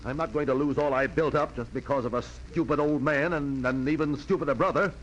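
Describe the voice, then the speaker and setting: A man plays a pompous, stern businessman in an old 1940s radio drama. He talks quickly in clipped, formal phrases with a haughty edge. The recording carries a faint layer of noise. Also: a little high, natural voice, rough recording. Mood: furious. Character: stern, indignant, pompous businessman older brother.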